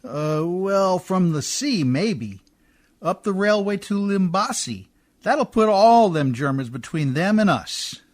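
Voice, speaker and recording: A man playing a folksy, rough-spoken boat mechanic in a radio drama, deferential but skeptical, with ungrammatical homespun speech. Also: high-pitched, expressive, slow. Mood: easygoing, stubborn. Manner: folksy ungrammatical speech, often adding "miss". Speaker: actor in a community radio theatre. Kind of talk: radio drama acting in an old Hollywood radio style.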